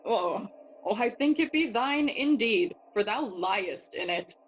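An actress playing young Hamlet, a witty, brooding and curious noble, in a theatrical bilingual Spanish-English Shakespeare audio play. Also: female voice, medium pitch, animated, slow pace, lo-fi sound, slight background noise. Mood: playful sparring. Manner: musing, questioning, theatrical.

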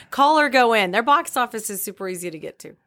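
A woman's voice: a little high, expressive, a little fast, fairly bright tone. A middle-aged woman chatting casually as an interview guest. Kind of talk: casual chat.

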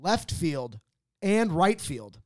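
A man's voice: fairly high pitch, sing-song, drawn-out words, a little mellow, dry recording, studio quality. A man co-hosting a podcast in casual, joking banter with a cocky, sweary manner. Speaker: podcast co-host.

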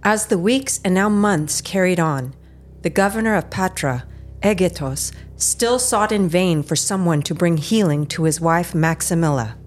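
A woman's voice: on the low side, unhurried, soft background music. A woman reading a scripted, dramatic story narration.

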